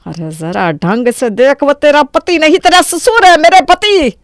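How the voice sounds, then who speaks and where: Woman acting a shrill, scolding mother-in-law, wildly animated. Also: measured pace, no room sound, clean studio sound. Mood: angry scolding. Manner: harsh, exclamatory, berating. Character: scolding, quick-tempered Indian mother-in-law. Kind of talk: Hindi cartoon story voice acting.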